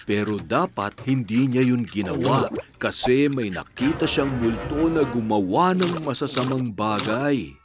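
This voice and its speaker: Patient cartoon dad reading bedtime tales, played by a man; animated mid-range voice, prominent music behind. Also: mid-range voice, unhurried, close to an everyday voice. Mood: storytelling. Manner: expressive, storybook-like delivery. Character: patient parent telling bedtime stories to a child. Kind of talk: children's cartoon bedtime storytelling.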